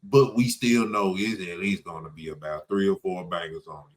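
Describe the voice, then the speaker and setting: A man's voice: low-pitched, moderate pace. A young man co-hosting a casual music review podcast, laid-back and searching for his words. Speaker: podcast co-host.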